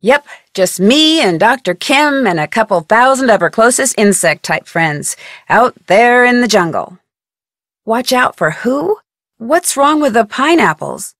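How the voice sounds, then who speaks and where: A woman's voice: on the high side, big pitch swings, a little slow, a bit bright, dry recording, clean studio sound, exaggerated voice. A woman voicing a plucky, inquisitive young amateur detective with an American accent in an adventure game, chipper and conversational. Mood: friendly, curious. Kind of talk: scripted adventure game dialogue.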